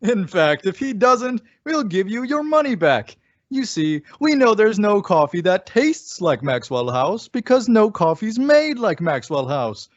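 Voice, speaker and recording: A man plays a folksy commercial announcer in old radio style. His high voice swings melodically as he persuades. The sound is dry and a bit dull. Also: sing-song, a little slow, dry recording. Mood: cheerfully persuasive. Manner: folksy, salesmanlike. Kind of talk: old-time radio advertising read. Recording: muffled lo-fi recording.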